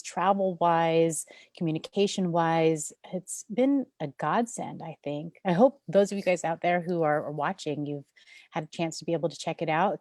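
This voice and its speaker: A woman's voice: low voice, darkish tone, dry recording, clean studio sound. A woman guest in a casual video chat, speaking earnestly and enthusiastically. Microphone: video call.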